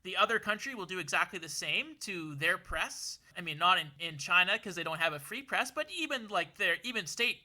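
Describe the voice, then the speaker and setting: A man's voice: sits high, animated, brisk, hardly any pauses, pushed and bright. A young man from Canada co-hosting a casual political discussion, talking earnestly with many restarts and repeated words, his voice worn as if losing it. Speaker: podcast co-host.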